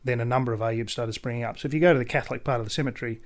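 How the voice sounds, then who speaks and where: A man's voice: mid-range voice, steady tone. Articulate young Australian man. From central New South Wales, Australia. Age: 20s. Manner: rich, formal diction, measured and articulate. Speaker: podcast guest. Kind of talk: casual interview chat.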